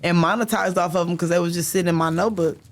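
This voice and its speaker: A woman's voice: on the low side, fairly even intonation, racing, over music. A young adult woman rapper talking candidly in a casual interview, using laid-back street slang. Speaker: rapper, guest.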